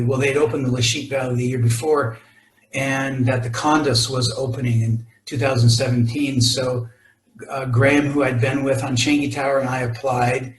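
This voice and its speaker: A man presenting a mountaineering talk over photos, halting and full of fillers and restarts. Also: medium pitch, reverberant, rough recording. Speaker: expedition climber presenting. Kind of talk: presentation to a club audience.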